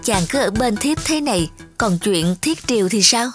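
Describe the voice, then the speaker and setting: Woman playing a high-voiced, scheming young princess. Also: expressive, moderate pace, music in the background, natural voice. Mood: coaxing, sweet. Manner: coaxing, affected. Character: vain, greedy, scheming young princess, coquettish then haughty. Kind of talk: children's cartoon fairy-tale acting.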